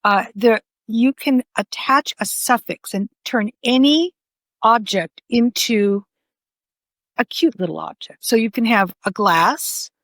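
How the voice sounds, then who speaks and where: An older woman whose first language is Greek speaks slowly, her voice sitting fairly high. She rambles in casual conversation, filling her talk with "you know." The sound is dry and close. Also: a bit bright, dry recording. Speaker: podcast guest, author. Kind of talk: conversational interview.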